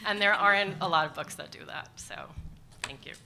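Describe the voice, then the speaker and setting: A woman's voice: medium pitch, fairly quick, gravelly, sharp tone. A woman book editor speaking on a conference panel into a table microphone, enthusiastic and full of "um" fillers. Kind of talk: panel pitch and discussion. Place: large conference hall.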